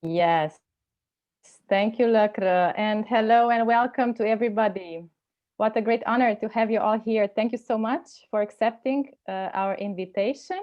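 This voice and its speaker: A woman in her forties moderating an online meeting from a bright room at home, speaking warmly with lots of hesitations into an earphone mic. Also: fairly high pitch, moderate pace, muffled recording. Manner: warm, hesitant, many fillers. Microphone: earphone mic on video call.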